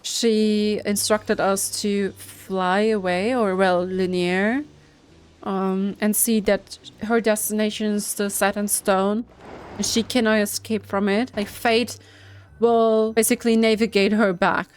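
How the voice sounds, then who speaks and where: Slow-paced woman streamer, musing casually. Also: mid-range voice, little pitch movement, smooth. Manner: musing, halting, chatty. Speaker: streamer, let's player. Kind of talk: casual game commentary. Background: game music.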